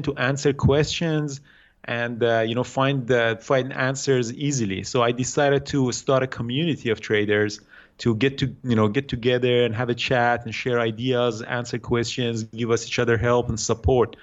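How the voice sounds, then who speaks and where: A man in his thirties from Iran with an Iranian accent, answering interview questions haltingly with many fillers and restarts. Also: medium pitch, lo-fi sound. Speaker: guest day trader.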